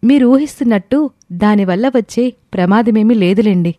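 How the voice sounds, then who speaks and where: A woman playing a thoughtful, protective young wife in an audio story, speaking in an earnest, measured way. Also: medium pitch, dark tone, no room sound, natural voice. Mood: calm, earnest. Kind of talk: scripted audio story dialogue.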